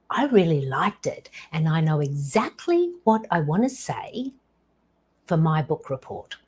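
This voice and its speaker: A woman in her fifties hosting her own show, telling a personal story slowly and deliberately, with dramatic pauses. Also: low-pitched, breathy edge. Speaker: podcast host.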